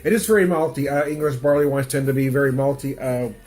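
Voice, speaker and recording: A man's voice: mid-range voice, quick pace, darkish tone, faint music behind. A middle-aged man with a stuffy nose from a cold, rambling casually through a home beer tasting review and chuckling often. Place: home room with music playing.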